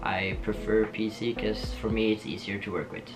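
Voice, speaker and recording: A young man from Norway with a Norwegian accent, chatting casually to camera in a small home studio, laughing often and pausing with ums. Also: fairly low pitch. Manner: relaxed, giggly, lots of ums and restarts. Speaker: vlogger. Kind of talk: casual get-to-know-me chat. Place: small bedroom studio with shelves and posters. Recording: soft guitar music underneath.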